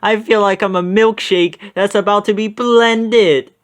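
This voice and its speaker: High goofy scaredy teen slacker voice, slangy, blurty, for a kids book. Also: male voice, fairly even intonation, a little slow, a little hiss or hum. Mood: panicked. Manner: exclamatory, slangy, stammering when scared. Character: cowardly goofy teenage slacker boy, food-loving.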